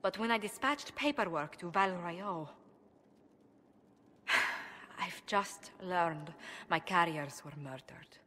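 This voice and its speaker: A woman's voice: fairly low pitch, slow pace, long pauses, pushed and bright, big-room sound, a little hiss or hum. An actress playing a proud, worried young noblewoman diplomat in a video game, speaking formally, precisely and earnestly. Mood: troubled. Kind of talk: video game cutscene acting.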